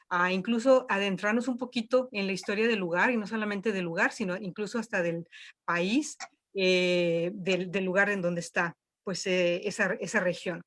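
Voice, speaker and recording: A woman's voice: mid-range voice, fairly bright tone. A woman leading an online workshop from home, talking with many fillers and restarts. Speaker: workshop instructor.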